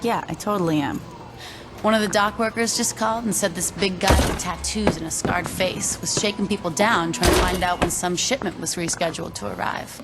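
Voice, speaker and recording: An actress playing a laid-back, wisecracking young gang sidekick with a casual, teasing manner in a game. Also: female voice, on the low side, fairly even intonation, brisk, heavy background noise, lightly acted. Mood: casual, joking. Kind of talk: video game cutscene acting. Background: clear music.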